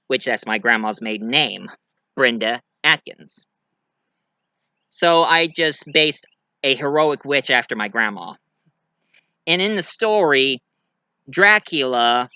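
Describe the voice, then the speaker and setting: An American man giving a casual show-and-tell monologue, rambling and halting as he corrects himself along the way. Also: a little high, sharp tone, clean tone, dry recording. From United States. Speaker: amateur reviewer and story writer presenting own work.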